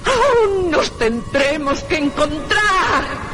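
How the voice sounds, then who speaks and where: A woman's voice: high voice, expressive, drawn-out words, over loud music, exaggerated voice. A woman playing a sweet, yearning ghost of a duchess in a radio horror drama. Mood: eerie longing.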